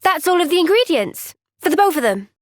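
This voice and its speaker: A woman voicing an earnest, kind young woman soldier in a video game, speaking gently and sincerely in a thoughtful, resolute way. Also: very high-pitched, big pitch swings, a little fast, no echo, studio quality. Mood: bright, pleased. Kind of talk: video game cutscene voice acting.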